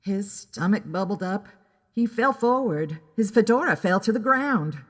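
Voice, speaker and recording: A woman's voice: medium pitch, expressive, unhurried. A woman narrating scripted pulp fiction in an audio drama, reading as a storyteller. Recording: long reverberant echo.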